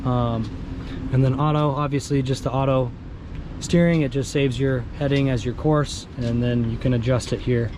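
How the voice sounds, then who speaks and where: Hoarse guy showing off his sailboat setup, lots of ums, wind noise all over the mic. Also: mid-range voice, moderate pace. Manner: relaxed, explains step by step with many ums. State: hoarse from a cold. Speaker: sailboat owner vlogger. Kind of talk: casual show-and-tell vlog. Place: outdoors on a sailboat in a marina, windy. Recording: windy outdoor camera audio. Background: wind and harbor noise.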